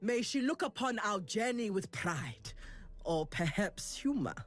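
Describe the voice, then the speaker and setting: Casting: commanding game priestess of a serpent god; solemn, preachy, widely swinging pitch, music underneath. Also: female voice, very animated, measured pace. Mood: solemn, proud. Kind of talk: video game voice acting.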